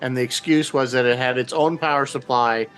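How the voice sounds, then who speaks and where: A man's voice: medium pitch, steady tone, a little fast, fairly bright tone, soft background music. A man on a radio panel explaining fine details in a casual fan discussion.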